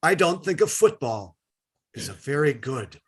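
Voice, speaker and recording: Man playing a husky, earnest schoolboy. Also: on the high side, very animated, a little slow, exaggerated voice. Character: earnest schoolboy reciting and dodging his mother. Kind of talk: readers theatre performance.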